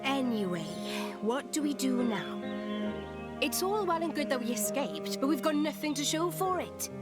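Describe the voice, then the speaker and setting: A frank young adventurer girl in a game cutscene. Her voice is high and direct, set over loud soundtrack music. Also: animated, normal speed. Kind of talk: dramatic video game cutscene acting.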